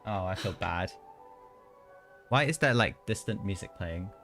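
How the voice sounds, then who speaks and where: Man, low voice, casual live game commentary, soft background music. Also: measured pace. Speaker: game livestreamer.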